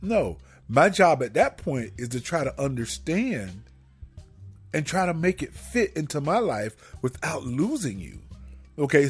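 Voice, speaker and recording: A man's voice: mid-range voice, slightly rough. A man co-hosting a casual radio talk show with his partner, talkative and given to preachy asides. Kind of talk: casual couple chat.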